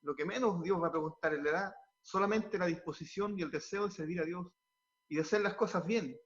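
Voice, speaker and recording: A man's voice: high voice, fairly quick, muffled recording. A man, a pastor, answering interview questions earnestly, often repeating words as he restarts, and sliding into a preaching tone.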